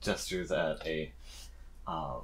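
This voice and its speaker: A man's voice: very deep voice, steady tone, slow, fairly bright tone, slight background noise. A man narrating a tabletop roleplaying game as its game master.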